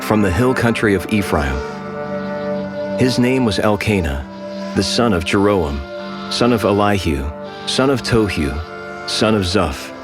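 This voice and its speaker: A man giving measured, solemn scripted scripture narration over strong music. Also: on the low side.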